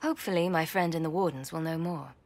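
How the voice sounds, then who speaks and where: Low-voiced, world-weary fantasy champion. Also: female voice, fast talker, darkish tone. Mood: determined. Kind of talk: dramatic fantasy game acting.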